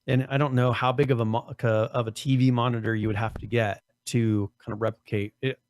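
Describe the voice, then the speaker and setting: Hoarse man co-hosting a podcast. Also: level tone, fairly quick, no echo. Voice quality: voice cracks on higher notes. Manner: rambling, many restarts and fillers. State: losing his voice, throat strained. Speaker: podcast co-host. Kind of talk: casual podcast chat.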